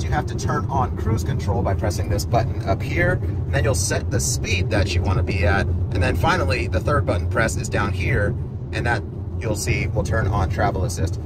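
Male car vlogger, thirties, fairly low voice, conversational explainer delivery, recorded handheld with plainly audible ambient noise. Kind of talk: casual vlog narration to viewers. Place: inside a moving car, later outdoors at a charging station. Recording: noisy handheld recording with vehicle or street noise.

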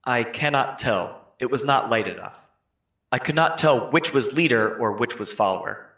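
A man's voice: mid-range voice, animated, moderate pace, fairly bright tone. A man playing an 18th-century townsman giving careful, formal testimony in a scripted trial re-enactment. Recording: echoey room.

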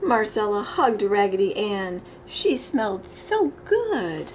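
A middle-aged woman reading a children's picture book aloud with expressive, emphasized storybook delivery. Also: mid-range voice, slow pace. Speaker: storytime reader. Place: living room, indoors. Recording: clear background room noise.

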